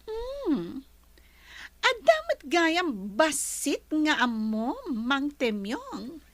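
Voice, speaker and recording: Radio play: woman as commanding rich lady, very high, lively, noisy backdrop. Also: extremely slow, no echo, exaggerated voice. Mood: teasing. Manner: commanding, questioning. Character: bossy wealthy female resort owner. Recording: noisy background.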